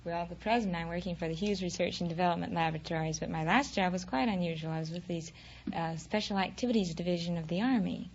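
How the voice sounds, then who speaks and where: A woman's voice: lowish voice, quick pace, a little mellow. A young woman from Utah giving conversational answers as a contestant on a radio quiz show. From Utah, United States. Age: young adult. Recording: muffled vintage 1940s radio recording.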